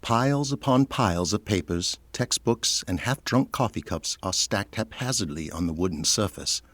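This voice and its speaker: Casting: male narrator for audio drama, deep voice, scripted scene-setting read. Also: animated, moderate pace, a bit bright, no room sound.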